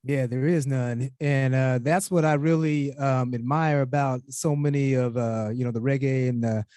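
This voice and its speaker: Mellow male host, dry close sound. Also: mid-range voice, steady tone, moderate pace, no room sound. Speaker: music show host. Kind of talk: casual interview chat.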